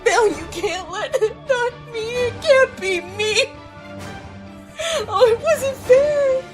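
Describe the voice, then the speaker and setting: Radio play casting: desperate condemned wife and mother, extremely high, drawn-out wailing pleas. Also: animated, soft background music, exaggerated voice. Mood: terrified begging. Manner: wailing, sobbing repeated protests. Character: desperate pleading village wife and mother chosen to die. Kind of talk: radio drama acting.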